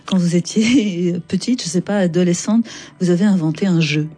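A woman interviewer speaks in a somewhat low, dark voice with a breathy edge. She hosts a literary conversation in an engaged way, framing remarks and questions informally for her guest. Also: normal speed, faint music behind. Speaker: interviewer, book programme host.

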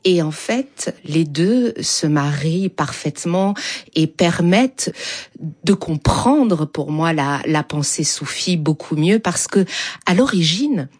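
A woman reflecting as an interview guest, thoughtful and measured, pausing before key words. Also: deep voice, animated, a little mellow. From born in Germany to Iranian and Kurdish exile parents. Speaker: interview guest, whirling dervish.

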